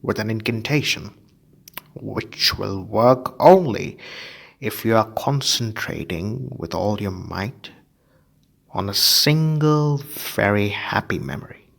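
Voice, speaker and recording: A man voicing a kindly adult male wizard teacher who speaks slowly and emphatically. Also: on the low side, lively intonation, vocal fry, a bit bright, exaggerated voice. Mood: instructive. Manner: slow, emphatic and instructive.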